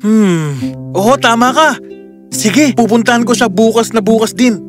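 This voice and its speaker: A man's voice: very high voice, unhurried. A man voicing a kind, brave young prince in a children's fairy tale, earnest and courteous. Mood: friendly. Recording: music clearly behind the voice.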